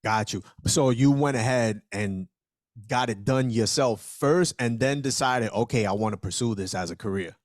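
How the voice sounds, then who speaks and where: A man's voice: medium pitch, moderate pace, dry recording, studio quality. A man hosting a podcast, greeting and questioning his guest with enthusiastic, casual energy. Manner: enthusiastic, repeats "outstanding". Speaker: podcast host.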